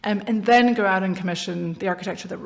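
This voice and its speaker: American woman with vocal fry. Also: mid-range voice, fast. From Brazil. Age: 40s. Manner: animated, self-deprecating, many restarts. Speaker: podcast guest, agency founder. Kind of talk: casual interview chat.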